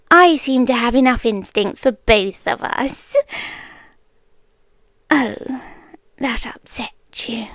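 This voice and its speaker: A British woman voicing a possessive, coaxing scorpion captor in an intimate ASMR roleplay, teasing and deliberate, often half-whispering. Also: high-pitched, dry recording, heavily acted.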